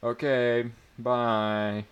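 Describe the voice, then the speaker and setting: A man's voice: mid-range voice, level tone, very slow, smooth, lo-fi sound, voice pushed up. A man voicing an awkward, eager teenage boy who speaks hesitantly, in a dramatic story reading. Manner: hesitant, stammering.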